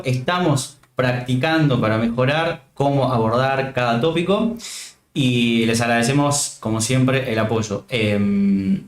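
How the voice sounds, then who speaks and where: Argentine guy hosting a laid-back stream from home, mid voice, kinda echoey. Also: medium pitch. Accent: Argentine Spanish. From Catamarca, Argentina. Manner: relaxed, thankful, joking. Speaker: stream host. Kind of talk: casual stream chat.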